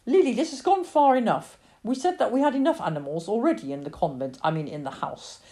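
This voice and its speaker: A woman's voice: fairly high pitch, expressive, a little fast, mellow, pitched above the performer's own voice. A woman voicing a firm, exasperated farmhouse mother in a story, scolding sharply.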